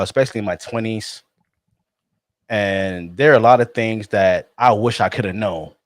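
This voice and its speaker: A man in his thirties hosting a podcast, relaxed and halting, often restarting his sentences. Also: lowish voice, dry recording, clean studio sound. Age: 30s. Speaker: podcast host. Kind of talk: casual podcast conversation.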